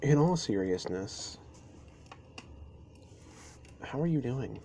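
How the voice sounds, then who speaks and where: A man playing a caring, easygoing best friend in an intimate roleplay audio, speaking softly and haltingly to the listener. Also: mid-range voice. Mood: gently concerned. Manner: halting, unhurried, gentle. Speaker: amateur audio roleplay performer. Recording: steady ambient noise behind voice. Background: campfire ambience.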